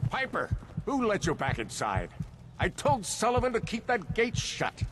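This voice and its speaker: An actor playing a pompous, blustering, slick middle-aged city mayor in a video game, with an oratorical delivery. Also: male voice, high voice, huge pitch swings, normal speed, background noise. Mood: indignant.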